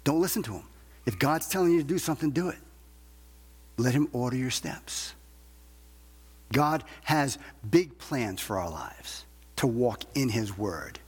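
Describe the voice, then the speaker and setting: Husky male preacher in a reverberant hall. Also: mid-range voice, expressive, measured pace, long pauses. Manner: emphatic, repeats phrases with rhetorical questions. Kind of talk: evangelical sermon to a congregation.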